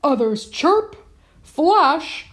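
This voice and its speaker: Slow, very animated woman reading a storybook. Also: sits high. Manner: theatrical, emphatic, playful with sound words. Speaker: children's book reader. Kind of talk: expressive picture-book read-aloud.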